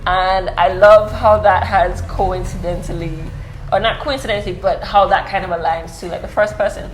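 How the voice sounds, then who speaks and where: A woman's voice: medium pitch, fairly even intonation, moderate pace, soft background music. A woman hosting an interview podcast in casual chat, enthusiastic and affirming, full of "yeah"s and "mm-hmm"s. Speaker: podcast host. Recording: echoey room with faint noise.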